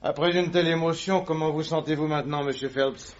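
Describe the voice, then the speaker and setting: An actor playing a calm, ironic detective in an old radio drama, giving wry, commanding orders. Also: male voice, on the high side, brisk, lightly acted. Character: calm, ironic male detective, middle-aged. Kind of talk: vintage radio drama acting. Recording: dull lo-fi old radio recording.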